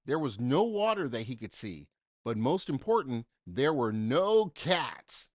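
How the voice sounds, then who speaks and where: A man reads a children's storybook with a lively, swooping delivery. His pitch sits in a middle range and moves widely, with deliberate, dramatic emphasis. The recording is dry and close. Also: dry recording. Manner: dramatic, deliberate phrasing with emphasis for young listeners. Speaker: storybook reader. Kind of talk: expressive children's story read-aloud.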